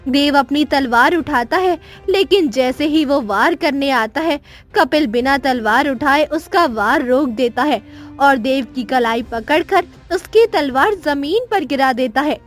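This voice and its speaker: A woman's voice: high voice, a little slow, soft background music. A woman narrating a scripted children's moral story as its storyteller.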